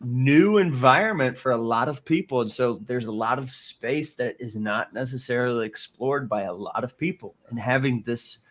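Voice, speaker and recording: A man's voice: medium pitch, normal speed, hardly any pauses, a bit bright. A man hosting a casual online talk, upbeat and rambling, with frequent restarts. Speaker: host.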